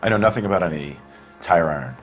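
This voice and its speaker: Male, cornered mechanic under interrogation in a game. Low register, defensive, halting, pleading. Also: expressive, faint music behind. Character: anxious, defensive working-class mechanic, male murder suspect. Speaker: video game voice actor. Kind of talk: dramatic interrogation acting.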